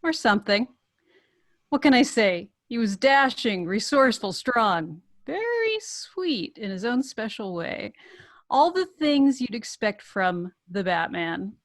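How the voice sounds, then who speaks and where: A woman's voice: fairly high pitch, big pitch swings, slow. A woman reading the part of a bold, determined, flirtatious woman in love at a script table read. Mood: smitten. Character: bold, determined woman romancing a wealthy bachelor.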